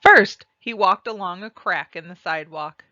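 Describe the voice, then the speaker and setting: A woman reading a children's storybook aloud and describing its pictures, with deliberate, expressive phrasing. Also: medium pitch, dry recording, highs cut off. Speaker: read-aloud narrator.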